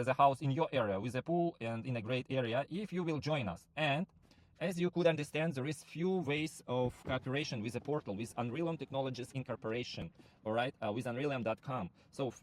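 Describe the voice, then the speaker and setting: A man whose first language is not English, giving a halting sales pitch for a rental platform, full of fillers and restarts. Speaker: property platform founder recruiting sub-agents. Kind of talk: screen-share walkthrough pitch.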